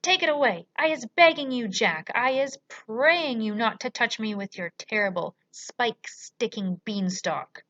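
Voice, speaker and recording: A woman's voice: very high voice, flat delivery, a little slow, fairly bright tone, dull audio, pitched above the performer's own voice. A woman voicing a huge, brutish male storybook giant, bellowing and pleading in terror with garbled words. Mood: frantic pleading.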